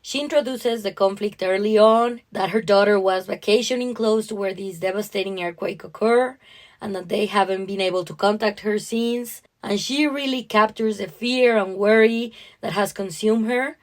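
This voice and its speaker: A woman with a medium-pitched voice talks as a podcast co-host. She explains her reading of fiction in an appreciative, conversational way. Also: normal speed. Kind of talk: conversational literary discussion.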